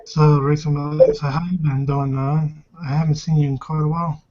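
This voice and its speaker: A man's voice: fairly high pitch, little pitch movement, moderate pace, dark tone, close to an everyday voice. A man acting an easygoing club worker in a tabletop game. He talks casually and a bit hesitantly, with muffled lo-fi sound.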